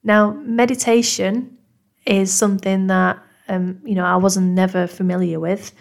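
Woman, thirties, Manchester accent, podcast host, gentle and reflective, mid-pitched voice. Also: little pitch movement, measured pace, vocal fry. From England. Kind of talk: personal podcast monologue.

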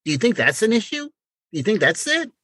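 Animated man bantering on a podcast. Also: high-pitched, fairly quick, a little hiss or hum. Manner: blunt, exasperated joking, some swearing. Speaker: podcast co-host. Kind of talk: casual bantering chat.

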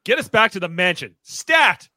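An impatient superhero leader in a radio play, acted by a man, barks clipped orders in a high, sharp voice. His delivery is animated and urgent. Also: normal speed, no room sound, clean studio sound, exaggerated voice. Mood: stern, urgent. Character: commanding, impatient young male superhero team leader. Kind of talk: live radio-play read of a superhero script.

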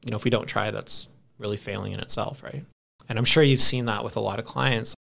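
Creaky-voiced man in his thirties, chatting casually. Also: lowish voice, fairly even intonation, fairly quick, bright, forceful tone. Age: 30s. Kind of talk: casual chat.

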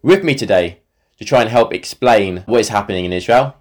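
Guy hosting a podcast, lowish voice, introducing stuff and asking questions. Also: fairly quick, soft background music. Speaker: podcast host.

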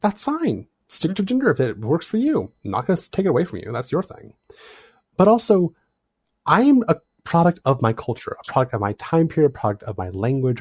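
A man talks through his ideas in a relaxed, thoughtful way. His voice sits at a middle pitch. The sound is dry and close, with no room echo. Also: husky. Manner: thoughtful, explanatory, animated delivery. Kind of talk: casual discussion.

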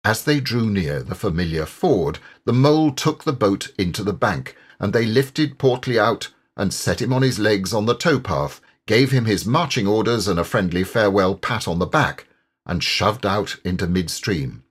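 A man narrating a literary audiobook expressively, in a measured and carefully enunciated way. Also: on the low side, lively intonation, slightly rough.

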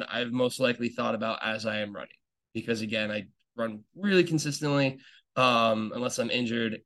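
A young adult man talks in an easy, rambling way, piling up fillers and circling back on his own sentences. His voice sits at an ordinary middle pitch for a man. He sounds like an eager fan thinking out loud as he hosts a casual podcast update. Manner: chatty, rambling, many fillers and restarts; enthusiastic fan talk. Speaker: podcast host.

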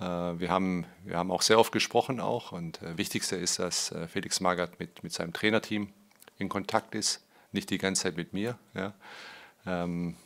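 A club director answers reporters in a loose, rambling way, restarting sentences and filling gaps with "ja". His voice is low and has a gravelly edge. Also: a bit bright. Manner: rambling, restarting, frequent "ja" and fillers. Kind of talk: press conference answers.

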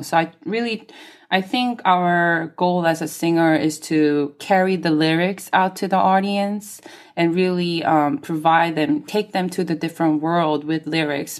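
A woman singer whose first language is Korean talks through her craft in an interview. Her voice sits a little low, and she pauses often with soft ums as she gathers her thoughts. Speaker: guest singer. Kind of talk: interview conversation.

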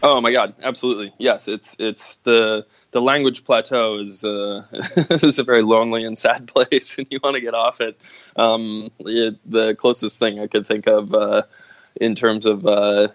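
A man chatting casually in a conversation, talking haltingly with many fillers and restarts. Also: fairly low pitch, fairly even intonation, a bit bright, no echo. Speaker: guest. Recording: dull lo-fi sound.

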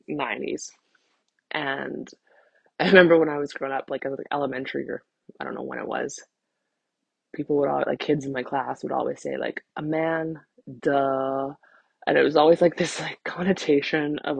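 A woman in her thirties speaks casually on a solo podcast, with a husky voice full of vocal fry. She rambles in a frank, self-deprecating way and swears freely. The sound is close and dry. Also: deep voice, no echo, dull audio. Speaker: podcast host, vocal empowerment coach.

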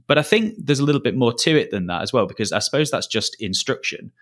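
A man's voice: mid-range voice, lively intonation, fast talker, a bit bright. A man in his thirties with a Lancashire accent, a school's director of learning, answering interview questions in an earnest, thoughtful way with frequent restarts. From Lancashire, England. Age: 30s. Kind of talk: podcast interview.

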